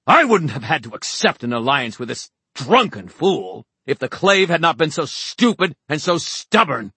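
Fanatical male politician, voiced by a male narrator, ranting, strident, pushed loud and high, for a fantasy audiobook. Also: very animated, moderate pace, husky, no echo, exaggerated voice. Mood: screaming rage. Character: fanatical, bigoted, screaming father, a political leader.

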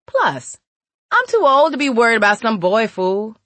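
A woman's voice: fairly high pitch, big pitch swings, moderate pace, fairly bright tone, no echo, voice pushed up. A woman voicing a cold, tough old woman in an audiobook, stern and menacing with street slang. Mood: defiant.

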